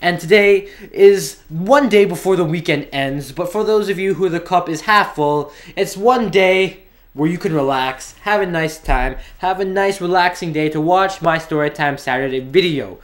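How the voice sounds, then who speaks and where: A man's voice: high-pitched, normal speed. A young man from Canada telling a story in a casual, rambling way, often restarting his sentences. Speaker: vlogger.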